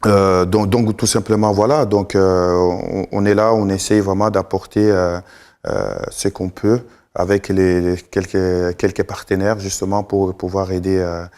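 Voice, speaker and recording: A middle-aged man from Congo, a guest in a TV studio, answering slowly with many fillers and restarts. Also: low voice, little pitch movement, darkish tone. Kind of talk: live TV interview.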